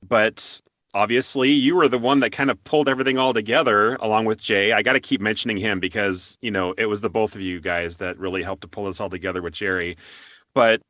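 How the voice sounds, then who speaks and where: A man's voice: on the low side, fairly bright tone, dry recording, clean studio sound. A man hosting an interview in a conversational style, explaining at length as he works toward his questions. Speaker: interview show host.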